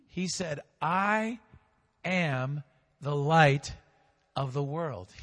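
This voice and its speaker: A man preaching a sermon in a voice a little higher than most men's. He leads the congregation in call-and-response, rising loud and then dropping to a hush, and stretches out his key phrases. The sound rings with the long reverb of a big hall. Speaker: pastor.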